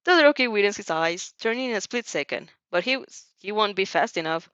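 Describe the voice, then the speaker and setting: A woman giving an expressive, emotive reading of a story as an amateur narrator, now and then stumbling over lines. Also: medium pitch, brisk, dry recording, dull audio. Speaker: amateur fan fiction narrator.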